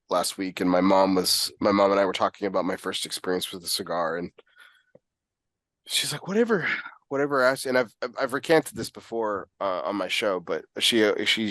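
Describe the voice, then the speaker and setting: A man's voice: lowish voice, fairly bright tone, dry recording. A man who hosts a casual interview and talks in an eager, rambling way full of fillers. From United States. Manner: enthusiastic, rambling, full of fillers and "you know". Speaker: podcast host.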